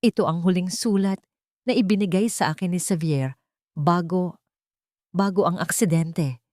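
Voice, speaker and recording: A woman's voice: fairly low pitch, normal speed, darkish tone, no room sound, clean studio sound, lightly acted. A woman voicing a distraught but determined young female singer in an audio love story. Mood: grave, urgent. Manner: insistent, tearful pleading.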